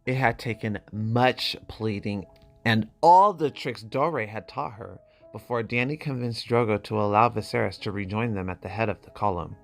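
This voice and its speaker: A man's voice: lowish voice, moderate pace, gravelly, faint music behind. A man reading as narrator in a scripted, dramatic audiobook style.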